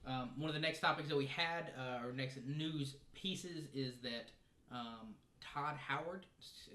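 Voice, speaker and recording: A man's voice: medium pitch, a bit bright. A man hosting a casual group chat, giving opinions in slangy, joking language, recorded in a roomy space. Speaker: podcast host. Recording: roomy sound with background noise.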